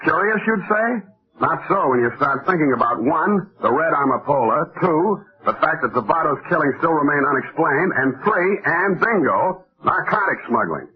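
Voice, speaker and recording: An actor playing a wry, cynical American private detective in a deadpan 1940s radio style. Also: male voice, fairly high pitch, moderate pace, crowd noise, lightly acted. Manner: sardonic, deadpan. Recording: thin, muffled vintage radio recording.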